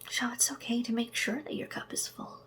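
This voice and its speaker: A woman whispering slow, soft ASMR affirmations straight to the listener, with chatty asides and a gentle sense of humor. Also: a little high, level tone. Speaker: ASMR creator.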